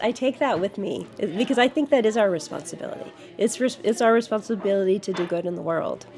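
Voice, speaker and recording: A woman's voice: lowish voice, darkish tone. A woman in her fifties from the United States answering interview questions as a city council candidate, earnest, with frequent fillers and restarts. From United States, grew up in Georgia.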